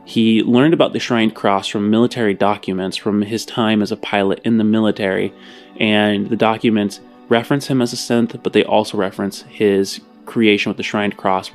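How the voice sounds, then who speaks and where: A man's voice: lowish voice, fairly even intonation, moderate pace. A man hosting a podcast, narrating a partly improvised recap of a story. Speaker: podcast host. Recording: music under the voice. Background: music.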